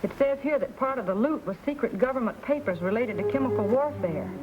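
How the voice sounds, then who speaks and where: Breezy, curious western eatery woman played by an actress, high voice, radio drama on a dull old recording. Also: expressive, fairly quick, noisy background, lightly acted.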